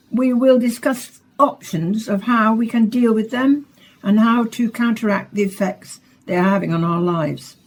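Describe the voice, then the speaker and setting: Radio play actress: composed woman hosting a science show, husky, formal, deliberate. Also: medium pitch, darkish tone, rough recording. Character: composed, serious female science programme presenter. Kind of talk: radio drama acting as a formal broadcast host.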